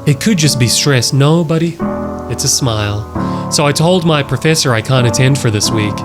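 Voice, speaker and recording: A man's voice: medium pitch, normal speed. A man reading text aloud and adding casual, wry commentary as a horror reaction host. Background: music behind the voice.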